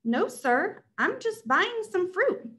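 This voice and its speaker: Woman as a nervous, high-pitched meek man. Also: very animated, slow, sharp tone, exaggerated voice. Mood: nervous, defensive. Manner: hesitant, defensive.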